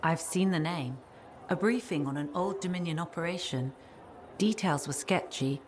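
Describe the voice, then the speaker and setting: A woman playing a low-voiced, guarded former spy. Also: normal speed, background noise, close to an everyday voice. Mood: guarded, troubled. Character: guarded, weary female ex-spy, worried caring wife. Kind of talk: video game dialogue acting.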